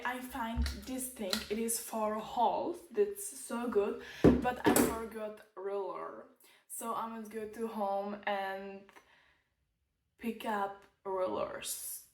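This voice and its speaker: Czech-accented woman, halting english, slow, roomy echo. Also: medium pitch, a little mellow. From Czech Republic. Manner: halting, searching for English words. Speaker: vlogger. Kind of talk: casual DIY vlog. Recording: echoey, from a distance.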